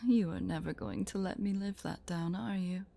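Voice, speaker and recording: A woman voicing a possessive, sadistic spider-woman in a roleplay audio drama, smugly playful, with breathy, teasing, condescending coos over music. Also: lowish voice, steady tone, normal speed, mellow. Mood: smug, amused.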